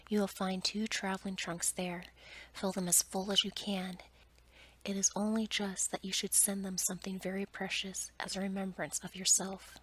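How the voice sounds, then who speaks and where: A woman voicing a stern, formal male beast from a storybook in a breathy ASMR whisper. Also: mid-range voice, flat delivery, fairly bright tone, close to an everyday voice, rough recording. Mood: calm, commanding. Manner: measured, formal, commanding. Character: stern, commanding male beast, lord of a palace. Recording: noticeable background noise.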